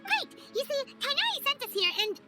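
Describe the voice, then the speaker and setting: A woman voicing a small, childlike, chirpy female fairy companion in an animated video game style, full of exclamations. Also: very high-pitched, fairly quick, loud music behind the voice. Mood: eager. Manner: speaks of herself in the third person, exclaiming.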